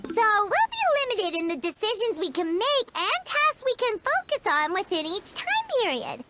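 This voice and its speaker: A woman's voice: extremely high pitch, very animated, normal speed, heavy background noise. A woman voicing a tiny, childlike fairy companion in a video game, chirpy and eager. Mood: thoughtful. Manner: chirpy, talks of self in third person.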